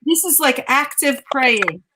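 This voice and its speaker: A woman's voice: high voice, expressive, slow pace, background noise. A woman giving a conversational live mediumship reading, insistently repeating phrases and relaying messages as quotes.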